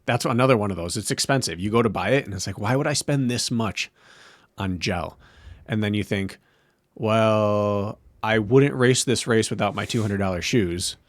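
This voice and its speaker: Wry, relaxed man on a dry mic. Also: fairly low pitch, moderate pace, no room sound. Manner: relaxed, wry, searching for words. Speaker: podcast co-host. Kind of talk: casual chat.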